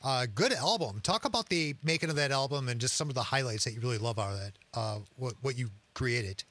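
A man's voice: mid-range voice, lively intonation, brisk, a bit bright. A man hosting a radio interview in the studio, upbeat and enthusiastic. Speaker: radio host.

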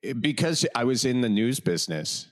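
A man in his late thirties from Wisconsin with a Wisconsin accent, hosting a podcast in an easygoing, rambling way with "um" and "uh" pauses. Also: mid-range voice, sing-song. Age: 30s. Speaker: podcast host. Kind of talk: casual podcast chat.

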